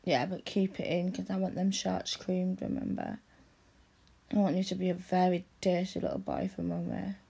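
A woman in intimate audio roleplay, speaking softly and coaxingly and often dropping into a whisper. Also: on the low side, little pitch movement, vocal fry, husky. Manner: soft, slow and coaxing, often drops to a whisper.

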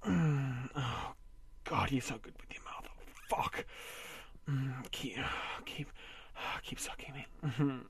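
A man voicing a domineering, possessive husband in an amateur audio roleplay, coarse and swearing, often dropping to a breathy whisper. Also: medium pitch, fairly even intonation, slow. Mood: commanding.

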